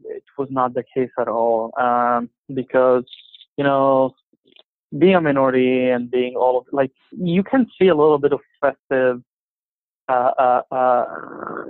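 A man's voice: medium pitch, no echo. Egyptian-born man on a tinny phone line. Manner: halting, many fillers and restarts. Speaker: interview guest. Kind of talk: casual conversation.

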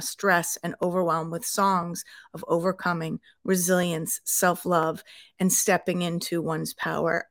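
A woman's voice: fairly low pitch, fairly bright tone, no echo. Middle-aged woman hosting, dry close sound. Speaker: podcast host and interviewer. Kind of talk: podcast introduction and conversational interviewing.

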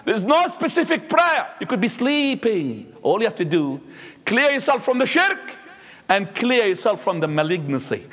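A man, preaching a sermon as an imam, swinging between high and low. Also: very animated, normal speed, a bit bright. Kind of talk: Friday sermon. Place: mosque. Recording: echoey hall.

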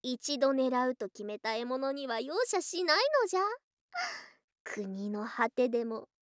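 A woman's voice: fairly high pitch, a little slow, no echo, clean studio sound, natural voice. A woman playing a doting, possessive lamia yokai wife in an ASMR voice drama, with haughty but affectionate archaic speech. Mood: possessive, coaxing. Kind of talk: ASMR situation voice drama acting.

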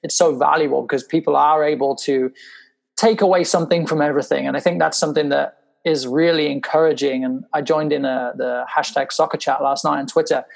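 Gravelly-voiced podcast host. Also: male voice, a little high, steady tone, brisk. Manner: chatty, repeats words while thinking. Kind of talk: casual podcast interview.

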